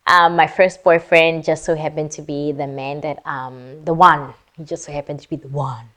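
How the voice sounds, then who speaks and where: Chatty woman from Lesotho, slightly low voice. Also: fairly even intonation, moderate pace, fairly bright tone. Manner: chatty and playful, girly slang asides. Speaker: vlogger. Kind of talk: casual Q&A chat to camera.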